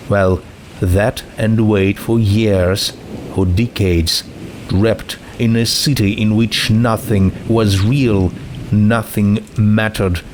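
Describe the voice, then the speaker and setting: A man narrating a scripted story in a measured, dramatic manner. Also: on the low side, darkish tone, a little hiss or hum, poor audio. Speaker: narrator, fan fiction reader.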